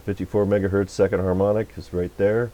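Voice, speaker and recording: A man giving a casual, unscripted technical demonstration at a workbench, talking in a folksy, halting, rambling way. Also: low-pitched, level tone, lo-fi sound. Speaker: electronics repair technician and video host. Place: radio repair workbench.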